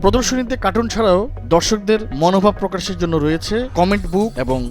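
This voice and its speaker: A man's voice: high-pitched, fast. A man with a Bangladeshi accent narrating a news report on location as a reporter. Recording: noisy crowd and music behind. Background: crowd noise and music.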